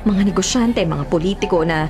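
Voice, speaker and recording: A woman's voice: fairly low pitch, a little fast, close to an everyday voice. An actress playing melodramatic radio drama women, an anxious wife and a ruthless businesswoman. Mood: worried. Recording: music and crowd noise behind.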